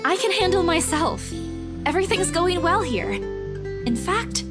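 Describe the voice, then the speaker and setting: A woman's voice: very high voice, very animated, unhurried, over loud music, heavily acted. An actress voicing an anxious, dutiful young working woman in an earnest, emotive visual novel performance. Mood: reassuring, dutiful.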